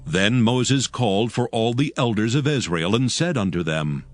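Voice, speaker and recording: A man narrating archaic scripture from a script in a measured, solemn manner. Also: lowish voice, animated. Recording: music bed behind the voice.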